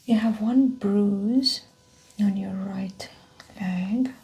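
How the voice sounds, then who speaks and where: A woman whispering softly in an ASMR medical exam roleplay, calmly talking through each step of the check. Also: mid-range voice, drawn-out words, low-quality recording.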